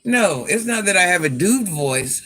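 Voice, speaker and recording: Deep-voiced granny streamer, sassy, bright forceful delivery. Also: female voice, lively intonation, moderate pace. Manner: sassy, talks back to commenters by name. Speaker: livestream host. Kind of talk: casual livestream chat.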